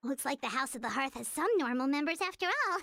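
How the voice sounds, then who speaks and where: A woman voicing a tiny, cute, cheeky and jittery childlike fairy companion in a video game, with a squeaky manner. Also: expressive, fairly quick, gravelly, a bit bright, no room sound, heavily acted. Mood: sheepish, cheeky. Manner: squeaky, talks in third person. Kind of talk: scripted game voice acting.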